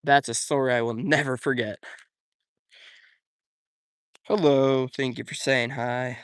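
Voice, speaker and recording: A man's voice: mid-range voice, steady tone, slow pace, clear, resonant tone. A young man fishing at a pond outdoors, chatting casually and answering viewers' comments while he streams. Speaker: fishing YouTuber.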